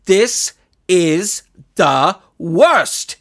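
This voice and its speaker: A man voicing an irate, bitter soccer coach in a story, ranting with loud, sarcastic exasperation. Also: high-pitched, big pitch swings, drawn-out words, heavily acted. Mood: outraged. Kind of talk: dramatised audiobook reading.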